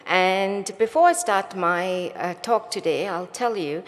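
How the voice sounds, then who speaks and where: A woman's voice: mid-range voice, unhurried. A middle-aged woman from Botswana, born in Sri Lanka, giving an explanatory conference talk as a research scientist. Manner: explanatory, asks rhetorical questions. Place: conference stage in a large hall. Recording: reverberant large hall.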